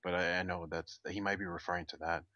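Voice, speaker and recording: A man's voice: deep voice, little pitch movement, fast talker, pushed and bright, dry recording, dull audio. A man on a podcast panel talking casually through technical details.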